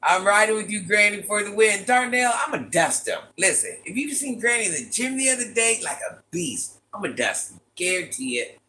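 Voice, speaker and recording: An older woman with a very deep voice, hosting a casual livestream, reading viewer comments and playfully trash-talking. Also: sing-song, normal speed, bright, forceful tone. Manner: playful trash talk, sassy asides. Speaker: livestream host.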